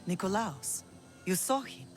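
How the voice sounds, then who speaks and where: A woman's voice: mid-range voice, very animated, unhurried, soft background music. A woman playing a grave, firm middle-aged mother who leads an ancient Greek island in a video game. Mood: guarded, grave. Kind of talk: video game cutscene acting.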